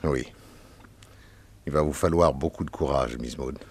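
An actor playing a solemn small-town sheriff who speaks hesitantly with fillers, in an old radio drama. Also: male voice, extremely low pitch, unhurried, a little mellow, slightly rough, exaggerated voice.

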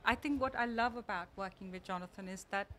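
A middle-aged woman from India, a designer answering interview questions in a relaxed way, often laughing and speaking with emphasis, into a handheld mic in a large indoor showroom. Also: mid-range voice, fast, slight background noise. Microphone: handheld mic held by interviewer.

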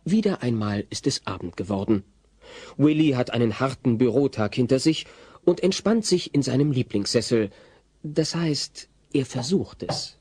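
Wry dramatic storyteller, mid-pitched. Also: male voice, lively intonation, normal speed, darkish tone, breathy edge. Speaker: narrator. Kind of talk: scripted comic story narration. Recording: faint hiss, old cassette audio.

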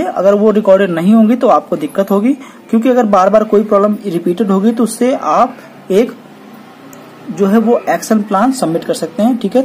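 Mellow-voiced woman teaching a checklist. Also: medium pitch, a little hiss or hum, low-quality recording. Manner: repeats "ठीक है" after each point, reads English terms then explains in Hindi. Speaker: welding instructor. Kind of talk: technical lesson explaining a checklist.

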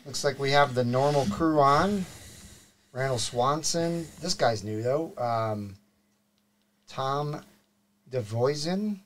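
A man's voice: mid-range voice, takes it slowly, pauses often, a bit bright, a little hiss or hum. A middle-aged man hosting a live car podcast, greeting viewers and reading sponsor plugs in an upbeat way. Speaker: show host. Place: studio set with desk mics. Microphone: desk mic on boom arm.

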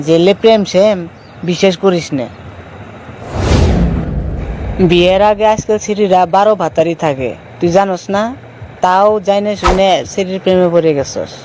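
A man's voice: sits high, background noise, close to an everyday voice. A man voicing a bitter, jilted young man in a cartoon, complaining and admonishing in an eastern Bengali dialect. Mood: scolding. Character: jilted, bitter young man cautioning his lovesick friend. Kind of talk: cartoon voice acting.

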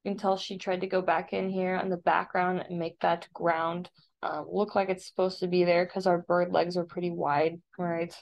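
A woman with a creaky voice, an art teacher casually explaining software step by step in a tutorial voice-over. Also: on the low side, level tone, normal speed. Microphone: screen-recording voice-over. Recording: dull, lo-fi voice-over.

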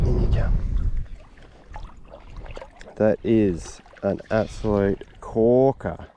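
An Australian man in his thirties talks slowly and easily while wading a sand flat. His voice sits low and warm, and he gushes over each catch in a drawn-out way. Faint outdoor noise is heard behind him. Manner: relaxed, enthusiastic, drawn-out praise. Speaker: fishing show host. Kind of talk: casual outdoor commentary. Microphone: camera-mounted mic, selfie and chest cam.

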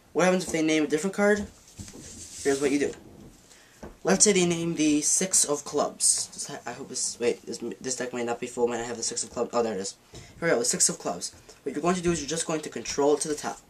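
A man talks through a card trick while handling the deck, casual and a bit rambling, often restarting his sentences. His voice has a middle pitch, and the sound comes from a camera mic above a dark table. Faint noise and card clicks sit behind him. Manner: rambling, self-correcting, demonstrating while talking. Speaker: magic teacher. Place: dim room at a dark table.

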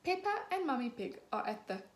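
Animated young woman reading a storybook. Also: high voice. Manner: animated, slow and clear, acting out characters. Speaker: teacher reading to children. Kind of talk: children's storybook read-aloud. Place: small room at home, indoors. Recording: echoey room, faint background hiss.

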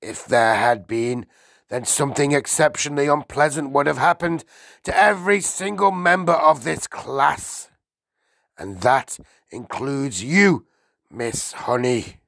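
Man playing a booming, tyrannical headmistress, pitched high. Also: unhurried, creaky, fairly bright tone, dry recording. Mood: cold menace. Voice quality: booming, barking. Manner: menacing, hectoring, contemptuous. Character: enormous tyrannical headmistress, a bellowing, insulting bully woman.

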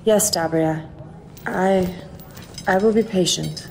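A woman playing a devout, yielding sister of a dark order in a fantasy audio drama. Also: fairly low pitch, slow pace, gravelly, slight background noise. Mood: devout, pleading.

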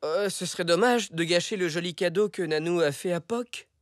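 A man's voice: sits high, a little fast, noisy background, natural voice. An actor voicing a kindly, reassuring father zebra in a children's cartoon, with music under the dialogue.

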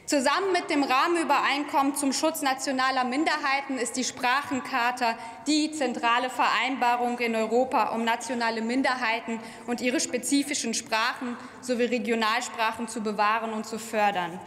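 A woman's voice: on the high side, fairly even intonation, moderate pace, few pauses, a bit bright. A young woman gives a formal, earnest prepared speech in parliament, speaking at a lectern microphone. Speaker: member of parliament and government commissioner. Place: large parliament chamber. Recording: roomy, echoing hall.

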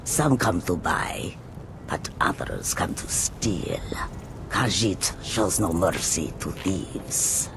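A sly, menacing cat-folk trader from a fantasy game. He speaks low and very slowly, with a gravelly creak, wary of everyone. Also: lively intonation, exaggerated voice. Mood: sly warning. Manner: slow, deliberate, sly. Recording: game audio with background noise.

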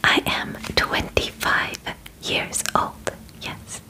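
A woman in her twenties whispering softly and breathily in an ASMR roleplay as a polite, formal alien examiner, with gentle, halting phrasing. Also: rough recording. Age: 20s. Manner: gentle, halting, measured, formal wording with short pauses. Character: polite, matter-of-fact female alien medical examiner. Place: small bedroom, indoors.

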